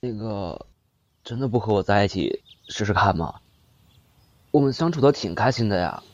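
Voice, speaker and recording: A man playing a hopeful young suitor who pleads gently in a romantic radio drama. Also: lowish voice, expressive, moderate pace, dry recording. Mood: pleading, hopeful. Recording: slightly dull, dry studio sound.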